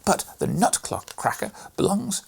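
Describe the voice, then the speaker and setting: A man voicing a rough, scornful young boy in a read-aloud storybook, speaking loudly. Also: high-pitched, sing-song, unhurried, voice pushed up, heavily acted. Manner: loud, scornful.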